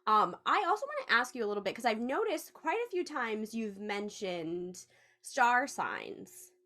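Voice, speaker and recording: A woman hosting a casual podcast interview, asking questions and backing up her guest with quick affirmations. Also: fairly high pitch, sing-song, sharp tone. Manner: supportive, frequent affirmations. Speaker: podcast host.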